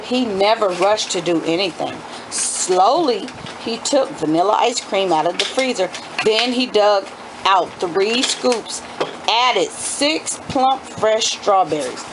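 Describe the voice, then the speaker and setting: A woman's voice: medium pitch, background noise. A middle-aged woman, a homeschooling mother, reading a children's book aloud and talking it over with her young children. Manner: asks children questions, explains asides.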